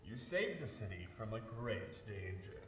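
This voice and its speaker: An actor playing a grim, righteous invisible crime fighter in 1930s radio drama style, measured and ominous. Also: male voice, lowish voice, sing-song, a bit bright, echoey room, recorded from a distance. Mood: grave, commanding.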